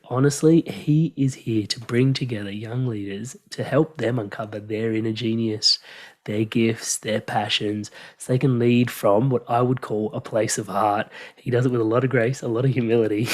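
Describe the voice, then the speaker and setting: A man hosting a casual podcast interview, enthusiastic and effusive as he introduces his guest. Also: medium pitch. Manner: enthusiastic, warm-hearted and effusive. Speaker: podcast host.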